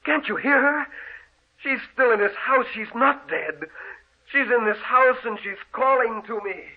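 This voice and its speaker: A man's voice: extremely high pitch, lively intonation, measured pace, vocal fry, breathy edge, heavily acted. An actor playing a distraught bedridden man obsessed with his dead beloved, insistent and melodramatic, in old-time radio horror style. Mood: feverish longing. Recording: tinny, band-limited old radio recording.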